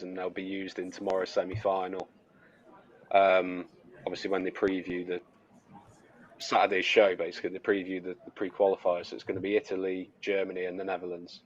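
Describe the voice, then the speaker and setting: Deep-voiced man, relaxed. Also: dull audio, a little hiss or hum. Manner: relaxed, hesitant with fillers. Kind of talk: casual chat.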